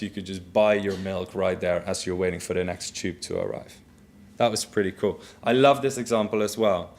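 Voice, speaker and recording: A man with a Dutch accent giving a conference keynote in a relaxed, conversational way while walking through a live demo. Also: fairly low pitch. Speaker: keynote speaker. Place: conference stage.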